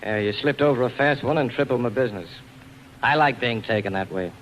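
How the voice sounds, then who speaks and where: An actor playing a tough, wary club owner and scheming rival in a 1940s radio drama. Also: male voice, mid-range voice, brisk, a bit bright, lo-fi sound, natural voice. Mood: grudging. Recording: faintly noisy 1940s radio broadcast.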